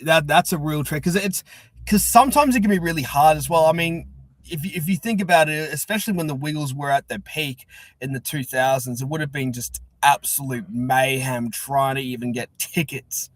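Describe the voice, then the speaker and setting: Male Australian podcast host, higher-than-average pitch, casual interviewing with stop-start repeated words, very dry recording. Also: no room sound. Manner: halting, repeats words as he goes.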